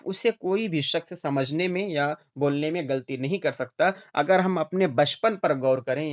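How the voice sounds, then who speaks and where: A man teaching an online class in an informal lecture style, restating each point for his students. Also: a little high.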